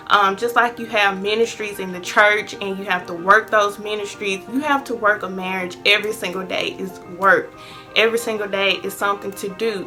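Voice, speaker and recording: Bright-voiced woman in her twenties. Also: medium pitch. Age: 20s. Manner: earnest, chatty, many ums. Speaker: vlogger. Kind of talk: personal advice vlog.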